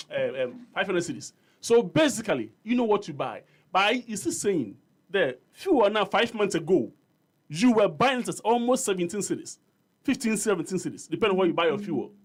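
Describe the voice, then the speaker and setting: Ghanaian man, slightly high voice, argumentative radio panelist, code-switching English and Twi. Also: animated, a little fast. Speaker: panel guest. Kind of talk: heated political debate. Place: radio studio.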